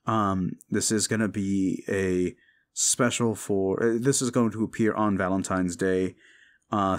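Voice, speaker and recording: Low-voiced man chatting casually to listeners. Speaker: audio roleplay creator and host. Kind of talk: casual outro chat to listeners.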